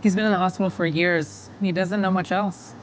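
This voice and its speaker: A woman as a lowish-voiced, caring mother. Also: steady tone, fairly quick, close to an everyday voice, low-quality recording. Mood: sombre. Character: weary, caring mother of a sick boy. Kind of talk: audio drama acting. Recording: faint background noise behind.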